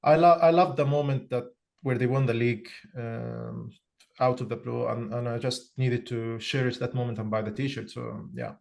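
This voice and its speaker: Egyptian man, gravelly, halting co-host. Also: medium pitch, fairly even intonation, darkish tone. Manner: halting, repeats words when starting sentences. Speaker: podcast co-host. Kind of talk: casual podcast chat.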